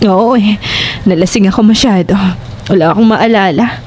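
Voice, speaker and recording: A woman's voice: medium pitch, normal speed, a little mellow, slight background noise, lightly acted. A woman playing an emotional, fearful and bitter young prostitute in a Filipino horror audio drama. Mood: groggy, confused. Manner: emotional, insistent, pleading. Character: distraught, fearful, bitter young prostitute who killed in self-defense.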